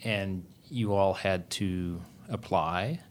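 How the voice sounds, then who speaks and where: A man hosting a conversational interview, giving short, relaxed prompts and follow-up questions. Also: deep voice, slow pace. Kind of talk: conversational interview, switching to French.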